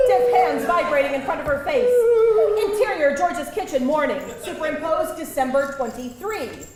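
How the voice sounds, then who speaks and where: A woman's voice: sits high, heavy background noise. A woman narrator reading screenplay stage directions with theatrical emphasis at a live table read. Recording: echoey hall with loud audience.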